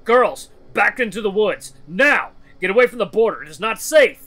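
A man's voice: on the high side, animated, brisk, sharp tone. A man voicing a stern male hound warrior in a story, speaking formally in clipped commands. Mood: urgent shouting. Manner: formal, clipped, imperative speech without contractions.

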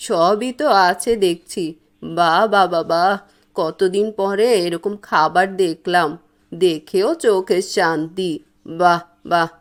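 A woman voicing an elderly, stubborn mother in a cartoon, speaking in a plaintive, drawn-out way. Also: fairly low pitch. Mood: delighted. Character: elderly mother, fussy about food, self-pitying and stubborn. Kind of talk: cartoon voice acting.

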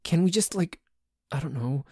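A man's voice: a little high, normal speed, darkish tone, slightly rough, no room sound, clean studio sound. A man playing a dorky, jealous boyfriend in an ASMR roleplay, complaining in a stammering, exasperated way that sometimes drops toward a whisper. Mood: exasperated, pleading. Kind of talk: ASMR roleplay audio drama.

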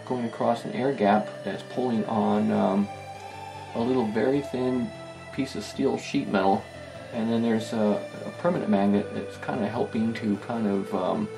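A man giving a casual hands-on demonstration, talking in a halting, rambling, self-deprecating way. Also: mid-range voice, creaky, poor audio. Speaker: host of a vintage electronics video. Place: indoor electronics workbench.

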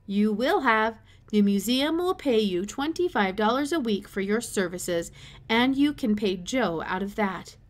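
A woman voicing a calm, kindly male scientist in a storybook, speaking in a measured, politely formal way. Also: on the high side. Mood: matter-of-fact.

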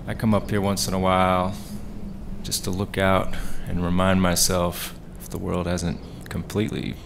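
A man's voice: low voice, completely flat delivery, normal speed. A man playing a musing stranger in a radio drama, recorded with ambient noise. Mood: reflective. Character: musing stranger atop a tower. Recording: clear ambient background noise.

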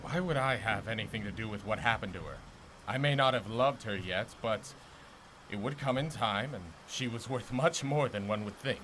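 A man's voice: lowish voice, moderate pace, natural voice. A man playing a bitter, contemptuous older blacksmith in ancient Greece, haughty and declamatory, in an audio drama. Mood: gruff, dismissive. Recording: noticeable background noise.

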